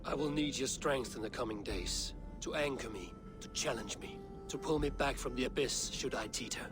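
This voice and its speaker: A man's voice: mid-range voice, normal speed, over music, natural voice. An actor playing a proud, aging king of the gods, commanding and brooding, in a video game cutscene with score behind.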